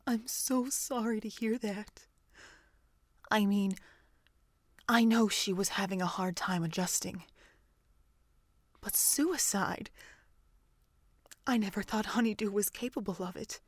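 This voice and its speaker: A woman playing a calm, motherly pony doctor in an audio drama, gentle and deliberate. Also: mid-range voice, no echo, clean studio sound. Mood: concerned, sad.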